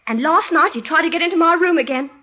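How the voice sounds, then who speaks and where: High, bright, excitable young Englishwoman. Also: fast. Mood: agitated insistence. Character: anxious, excitable young English daughter, upset and insistent. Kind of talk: old-time radio drama acting.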